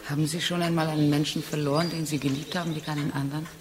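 An actress playing a quiet, questioning woman mourner in a radio play, speaking hesitantly and gently. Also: low voice, little pitch movement, very fast, a crowd in the background, natural voice. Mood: subdued. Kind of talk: German radio play dialogue.

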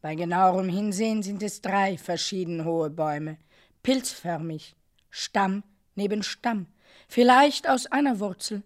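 An actress playing a solemn, insistent reciter in an avant-garde radio drama, speaking in a declamatory, deliberate way. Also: female voice, mid-range voice, lightly acted. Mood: solemn, descriptive. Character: solemn, insistent woman reciting an experimental text.

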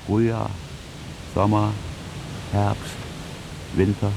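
A man's voice: fairly low pitch, little pitch movement, very slow, vocal fry, noisy background, full character voice. An actor playing a weary, stubborn older male patient in a radio drama, muttering in terse, clipped fragments. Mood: musing.

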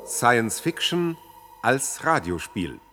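A man announcing a radio play in a formal, deliberate vintage broadcast style. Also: mid-range voice, very animated, over loud music.

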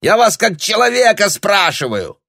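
A man's voice: medium pitch, very animated, creaky, husky, no room sound, voice pushed up, full character voice. Audiobook character, man playing agitated hustler shopkeeper, blustering, colloquial, very dry sound. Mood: furious. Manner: blustering, quick to shout, colloquial.

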